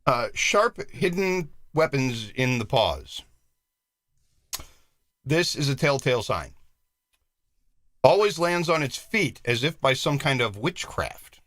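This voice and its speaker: Male co-host, bright forceful voice, mock-serious delivery, dry close mic. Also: medium pitch, big pitch swings, takes it slowly, pauses often, no room sound. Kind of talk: reading a comic list on a live show.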